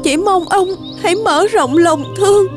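A woman voicing a gentle, pregnant mother deer in a cartoon, pleading and tearful. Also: very high-pitched, a little slow. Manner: tearful, begging. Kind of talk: cartoon voice acting. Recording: music behind.

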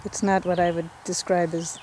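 A woman's voice: fairly low pitch, little pitch movement, normal speed. A woman hiker narrating a casual video diary outdoors, in a plain, matter-of-fact way as she walks. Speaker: long-distance hiker filming a trip video. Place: outdoors beside a busy highway. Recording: outdoor camera audio with loud traffic noise.

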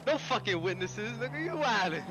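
A man's voice: high voice, big pitch swings, a little fast, background noise. A young man bantering in character in an online roleplay game, using slang and swearing casually. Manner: slangy, profane. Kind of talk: casual in-character street banter during gameplay.

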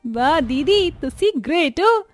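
A woman as an earnest, high-voiced young village sister. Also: lively intonation, slow, dry recording, faint music behind. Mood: amazed, playful. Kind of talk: animated Hindi moral story dialogue.

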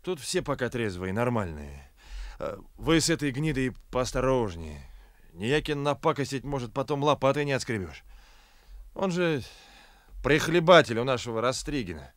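An actor playing a blunt, loyal provincial Cossack sergeant, folksy and emphatic, in a radio play. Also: male voice, mid-range voice, very animated, normal speed, natural voice. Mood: confiding. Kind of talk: Soviet radio play acting.

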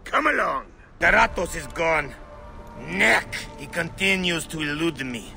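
Game role: fervent rebel commander, man, out for vengeance, slightly high, intensely forceful and sharp, emphatic delivery. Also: expressive, unhurried, creaky, soft background music, full character voice. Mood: resolute, plotting. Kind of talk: dramatic game cutscene dialogue.

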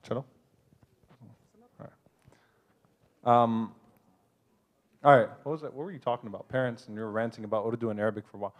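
A man speaking on a panel at a convention, giving earnest, brisk advice to the audience. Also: mid-range voice, steady tone. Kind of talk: live advice talk to an audience. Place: convention hall.

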